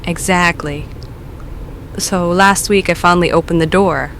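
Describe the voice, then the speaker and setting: An actress playing a nervous woman caller who talks in a hesitant, halting, self-deprecating way. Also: on the low side, fairly even intonation, fairly bright tone, slight background noise. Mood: uneasy.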